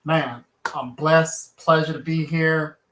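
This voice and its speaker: A man from Kansas, a lawyer and author, answering interview questions in an earnest, halting way full of fillers and restarts. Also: fairly high pitch, fairly even intonation, a bit bright, reverberant, a little hiss or hum, rough recording.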